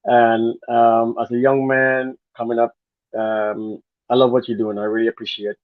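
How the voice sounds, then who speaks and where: A man's voice: medium pitch, little pitch movement, moderate pace, dry recording. A man from Guyana with a Guyanese accent, a guest telling his story in an earnest, rambling way. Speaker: guest, former dancer. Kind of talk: casual interview talk. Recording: phone-like narrow sound.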